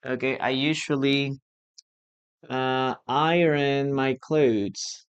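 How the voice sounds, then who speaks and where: Slow, patient teacher with a Peruvian accent. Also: mid-range voice, steady tone, clear, resonant tone. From Peru. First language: Spanish. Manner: patient, repeats key phrases, checks in with "okay?". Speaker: English teacher. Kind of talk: live online English class.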